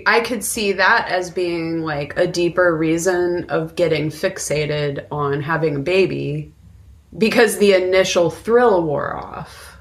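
A woman's voice: on the low side, takes it slowly. A woman co-hosting a podcast, talking casually and reflectively about a film in an informal way. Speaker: podcast co-host. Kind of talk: casual conversational film discussion.

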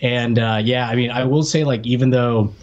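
A man's voice: medium pitch, steady tone, moderate pace, lo-fi sound. Casual American man telling stories. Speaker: podcast co-host. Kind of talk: casual chat, personal anecdote.

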